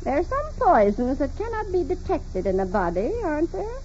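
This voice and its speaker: A muffled, faintly noisy old radio recording carries an imperious old woman. Her pitch swoops as she snaps, accuses and sneers at everyone under her roof. It is pure 1940s radio melodrama, sour and commanding. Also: huge pitch swings, normal speed, dark tone. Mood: bitter, suspicious. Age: older. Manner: imperious, suspicious, sarcastic, snapping. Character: despotic, bedridden, irascible old woman of wealth. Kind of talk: 1940s radio drama acting.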